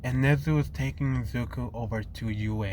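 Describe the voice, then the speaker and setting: A man's voice: medium pitch, noisy background. A man narrating a fan story off the cuff while losing his voice, stopping and correcting himself often. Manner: halting, frequent restarts and self-corrections.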